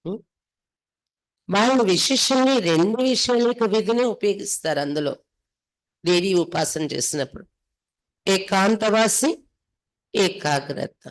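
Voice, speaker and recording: A woman's voice: medium pitch, expressive, measured pace, pauses often, poor audio. A woman from India, a spiritual teacher reading and explaining devotional text in an instructive way, repeating for emphasis. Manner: instructive, with emphatic repetition and rhetorical questions.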